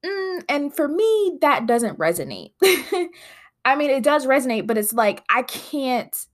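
A woman's voice: sits high, sing-song, measured pace. A woman hosting her own podcast in casual, rambling chat, her voice nasal from congested sinuses. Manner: chatty, rambling with frequent fillers. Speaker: podcast host.

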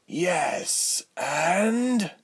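A man voicing a condescending villain lord in a fantasy story, measured and smooth in manner with a breathy, husky tone. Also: high voice, expressive, voice pushed up, full character voice. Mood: dismissive. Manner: measured, silky, haughty.

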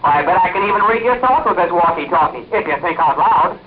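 A man's voice: sits high, expressive, fast. An actor playing an eager boy speaking through a walkie-talkie in an old radio adventure serial. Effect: walkie-talkie filter. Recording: tinny, faintly noisy old radio recording.

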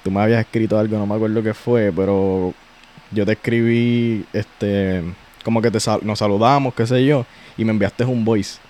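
A man with a Puerto Rican accent hosting a podcast, chatting casually in a slangy, relaxed way with frequent restarts. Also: on the low side, moderate pace. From Puerto Rico. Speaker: podcast host.

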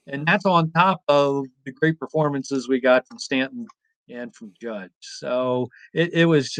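Rambling sports talk guest, lots of fillers. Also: male voice, on the high side, no room sound. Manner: relaxed, many fillers, rambling analysis. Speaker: guest host. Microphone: remote call.

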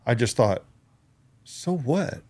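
A man's voice: mid-range voice, animated, slow pace, darkish tone. A man in his fifties hosting a podcast interview, chatting casually and reflectively with frequent restarts. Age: 50s. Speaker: podcast host.

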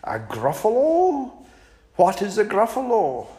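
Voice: medium pitch, huge pitch swings, slow, mellow, pitched above the performer's own voice. A sly, hungry storybook snake who coaxes and invites in a raised voice, as a reader puts him on. His tone rises and falls widely. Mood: sly coaxing. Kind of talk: children's story reading.

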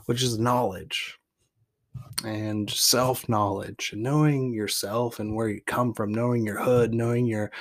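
Relaxed, low-voiced man musing on his roots. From Portland, Oregon. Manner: loose and reflective, with many fillers and restarts; reads his poem with emphatic rising lists. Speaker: podcast host and poet. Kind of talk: casual talk and poetry reading.

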